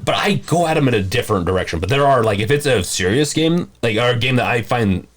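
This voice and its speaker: Animated man rambling in casual chat. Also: medium pitch, quick pace. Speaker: podcast co-host.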